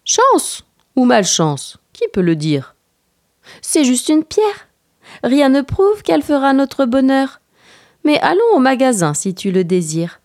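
A woman voicing a serene, philosophical male bear in a storybook, musing in a measured, drawn-out way. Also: sits high, big pitch swings, darkish tone, pitched above the performer's own voice, exaggerated voice.

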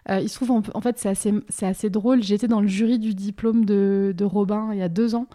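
Mellow-voiced woman, searching as she explains. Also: mid-range voice, steady tone, fairly quick. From Bordeaux, France. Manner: hesitant, searching, often repeats words as thoughts form. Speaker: interviewed independent curator and art school teacher. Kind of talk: informal radio interview, explaining a project.

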